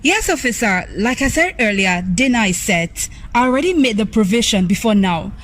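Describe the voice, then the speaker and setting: A woman's voice: a little high, lively intonation, normal speed. A woman playing an insistent, seductive Nigerian hostess in a radio drama, with formal phrasing. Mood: inviting, insistent. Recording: faint music behind the voice.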